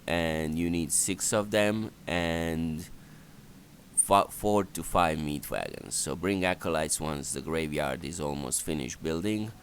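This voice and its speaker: A man narrates a strategy game walkthrough in a very deep, creaky voice. He gives plain, halting instructions at a slow pace over game sounds. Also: slight background noise. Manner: matter-of-fact, instructional, halting. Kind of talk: unscripted strategy game commentary. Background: game ambience and effects.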